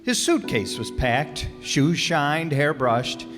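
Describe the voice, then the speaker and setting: A man narrates a radio play with dramatic, very lively intonation. Music plays clearly behind him. The voice carries a long hall reverb. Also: on the high side, takes it slowly. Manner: dramatic storytelling. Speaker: narrator and announcer.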